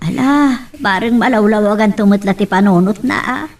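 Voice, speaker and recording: A woman's voice: medium pitch, a little fast, darkish tone, far from the mic, a little hiss or hum, natural voice. A woman playing a worried, devout mother in an Ilocano melodrama, speaking with an Ilocano accent. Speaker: voice actor in a drama.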